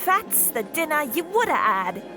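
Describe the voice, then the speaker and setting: A woman's voice: high-pitched, very animated, unhurried. A woman playing a cruel, bullying jailer in an audio drama, scolding and threatening. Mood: menacing. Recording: music under the voice.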